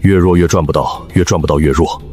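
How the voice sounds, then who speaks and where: An actor playing a brash, loyal, foul-mouthed fighter with a coarse, blustering, blunt manner in an animated web drama. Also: male voice, low voice, fast, husky. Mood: grateful, earnest. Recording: music behind the voice.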